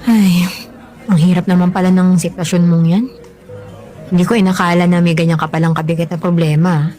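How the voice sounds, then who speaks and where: An actress playing a kindly, concerned coworker who listens sympathetically in a Filipino radio drama, with conversational delivery. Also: female voice, fairly low pitch, steady tone, a little fast, lightly acted. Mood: sympathetic. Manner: gentle, sympathetic, chatty. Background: background music.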